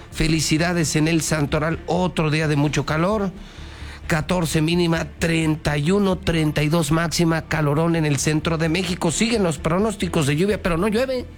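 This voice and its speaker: A man with a Mexican accent presenting radio news with commentary, emphatic and colloquial, often repeating phrases for weight. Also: fairly high pitch. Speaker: news anchor. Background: music.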